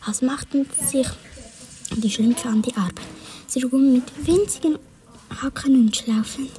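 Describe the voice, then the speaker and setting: A woman's voice: sits high, moderate pace, dark tone. Whispering woman telling a story. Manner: whispering, wandering asides.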